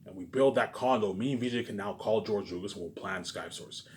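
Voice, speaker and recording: Fast-talking man rambling casually over background noise. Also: on the low side. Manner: repetitive, emphatic, swearing, frequent tag questions.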